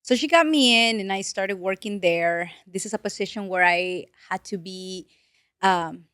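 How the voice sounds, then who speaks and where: Woman from Peru, Spanish native, late twenties, earnest storyteller, middle pitch, dry recording. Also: moderate pace, no room sound. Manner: earnest, animated storytelling with restarts. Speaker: financial advisor guest. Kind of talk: casual interview talk.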